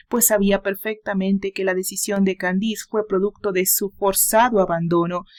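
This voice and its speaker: A woman's voice: medium pitch, darkish tone. A woman with a Mexican accent, hosting and narrating a romance story in a dramatic reading style. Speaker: audiobook narrator and channel host.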